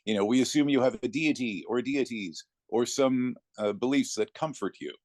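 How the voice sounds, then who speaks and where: A man talking conversationally as an interview guest, telling anecdotes in a reflective way with thoughtful pauses. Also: mid-range voice, a little mellow, no room sound. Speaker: interview guest, narrator of classic readings.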